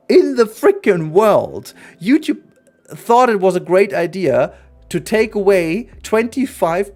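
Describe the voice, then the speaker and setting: A man's voice: sits high, darkish tone. Earnest non-native English-speaking man streaming. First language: not English. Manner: earnest, rambling, frequent restarts. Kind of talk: casual livestream chat.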